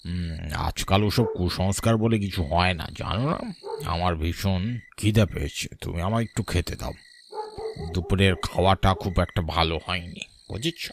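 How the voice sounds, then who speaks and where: A man's voice: low voice, moderate pace, noisy background. A man acting a hungry, grumbling husband in a cartoon, coaxing and mildly exasperated with his wife. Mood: dismissive, soothing.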